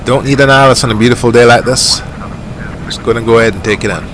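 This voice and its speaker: A middle-aged Jamaican man talks through a simulated flight in an unhurried, rambling way, dropping "uh" and "you know" as he goes. His pitch sits in an ordinary middle range. A steady, clearly audible aircraft engine rumble runs under his voice. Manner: rambling, easygoing, many fillers. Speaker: flight sim streamer. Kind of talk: casual gameplay commentary.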